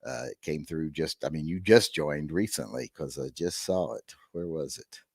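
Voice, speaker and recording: Man, hoarse, gravelly, deep voice, dry close sound, rambling wry host, live stream. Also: normal speed, dry recording. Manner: rambling, wry and self-deprecating.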